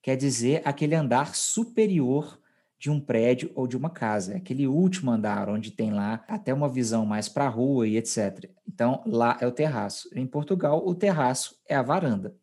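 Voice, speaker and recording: Husky Brazilian man teaching, mellow voice. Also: medium pitch, expressive, normal speed. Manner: explanatory, checks in with co-host. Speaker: podcast host teaching Portuguese. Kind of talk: conversational language lesson.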